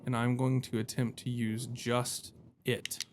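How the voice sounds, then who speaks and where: A man's voice: medium pitch, little pitch movement, a little slow, background noise. A man giving casual let's-play commentary, thinking aloud in a rambling way with self-deprecating jokes. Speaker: video game streamer. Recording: music behind the voice. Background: game music.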